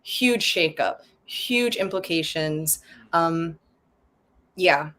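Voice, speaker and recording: A woman's voice: low-pitched, drawn-out words, a bit bright. A woman from Malaysia, a guest on a casual podcast over a video call, explaining things step by step and listing terms one by one. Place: home in a city, sirens outside.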